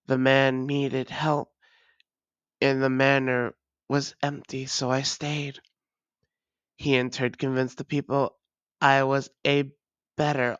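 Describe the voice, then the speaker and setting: A weary vampire played by a voice actor speaks very slowly and formally to a long-lost lover. The delivery is halting and guarded, like an intimate confession in an audio drama. The sound is dry and close. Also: mid-range voice, no room sound, dull audio, lightly acted. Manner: measured, halting, formal old-fashioned phrasing. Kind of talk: intimate scripted roleplay audio drama monologue.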